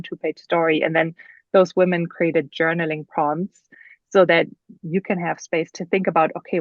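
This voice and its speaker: A woman with a German accent speaks in a lowish, dry voice and shares her story earnestly as a podcast guest. She talks thoughtfully and lingers with fillers. The sound is a little dull and lo-fi. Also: no room sound. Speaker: guest author. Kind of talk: casual podcast interview.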